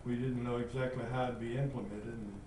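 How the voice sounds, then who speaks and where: An older man from North Georgia recalling his past in a measured, deliberate way during an oral history interview. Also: mid-range voice. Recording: echoey room, distant mic, steady hiss.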